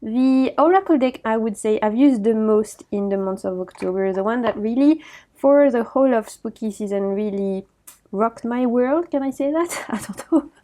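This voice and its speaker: A woman talks in a casual, gushing way, often breaking into a laugh. Her voice sits a little high, yet its tone is soft and mellow. A slight croak from a sore throat runs through it. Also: moderate pace. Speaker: oracle deck vlogger. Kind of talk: casual chatty deck review.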